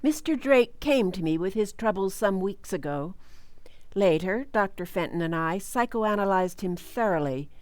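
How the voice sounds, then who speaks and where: A composed psychiatrist, acted by a woman testifying in a radio play. Her mid-pitched voice is formal, firm and deliberate, recorded close and dry. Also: expressive, a little mellow, no room sound, lightly acted. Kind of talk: radio drama acting.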